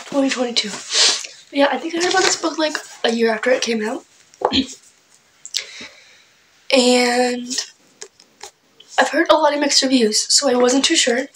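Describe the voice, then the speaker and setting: A young woman chatting casually about her book haul, clearing her throat often as she loses her voice, her asides trailing off soft and breathy. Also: on the high side, noisy background. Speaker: book vlogger. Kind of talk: casual book haul chat with read-aloud summaries.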